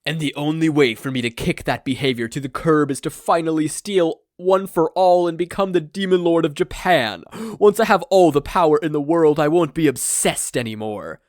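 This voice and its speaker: A man's voice: on the high side, normal speed, hardly any pauses, darkish tone, dry recording, clean studio sound, pitched above the performer's own voice, lightly acted. A man playing a grandiose, self-deluded male supervillain with a pompous, melodramatic, wordy delivery in a fan fiction reading. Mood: gleeful menace.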